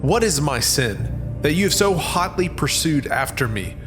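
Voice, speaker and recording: A man's voice: fairly high pitch, very animated, measured pace, music in the background. A man playing an indignant, wronged herdsman in a dramatized scripture reading, impassioned and declamatory. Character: indignant, wronged younger herdsman, biblical son-in-law.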